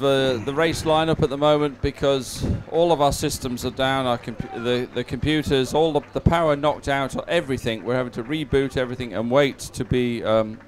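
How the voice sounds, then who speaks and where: A male commentator covering a live sporting event with a mid-pitched voice. He speaks off the cuff, haltingly and with dry self-deprecation. Faint ambient noise sits behind him.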